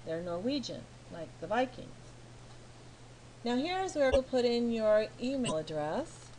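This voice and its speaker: A middle-aged American woman from California, a teacher talking slowly and patiently through each step of filling in a form online. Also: on the high side, big pitch swings, darkish tone, slight background noise, poor audio. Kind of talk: screen walkthrough tutorial.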